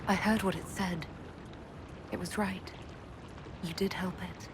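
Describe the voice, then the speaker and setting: A woman playing a calm, supportive elven leader in a video game, with a reassuring manner. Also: fairly low pitch, a little slow, noisy background, natural voice. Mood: gentle, supportive. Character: female elf leader, calm, supportive, wry. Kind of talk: video game cutscene voice acting.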